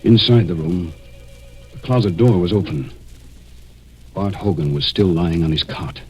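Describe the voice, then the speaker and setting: An American actor playing a cold, scheming murderer who narrates deliberately and suspensefully in a 1940s radio mystery. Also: male voice, low-pitched, darkish tone, rough recording. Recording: vintage 1940s radio recording, music behind.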